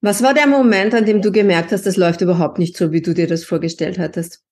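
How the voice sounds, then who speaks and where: Neugierige Interviewerin. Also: female voice, on the low side, fairly even intonation, fast talker, a little mellow. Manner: engaged, encouraging questions. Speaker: podcast host, linguist.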